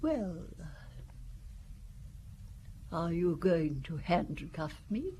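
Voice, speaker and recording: A proud, cunning old lady, slow and measured with a very lively, swooping pitch. She is haughty and defiant, in an audio drama with a noisy recording. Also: exaggerated voice. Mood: resigned, proud. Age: older.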